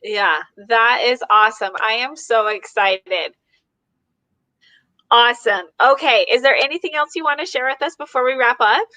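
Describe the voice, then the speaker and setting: An American woman with a higher, very bright voice and a close, dry sound. She hosts casually, answering her guest with short, encouraging affirmations. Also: moderate pace, dry recording. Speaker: host. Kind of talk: casual interview hosting.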